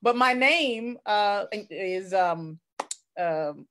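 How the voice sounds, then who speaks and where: A woman's voice: medium pitch, animated, extremely slow, bright, forceful tone, exaggerated voice. A woman playing an earnest, bashful, smitten man who owns a bakery in improvised comedy.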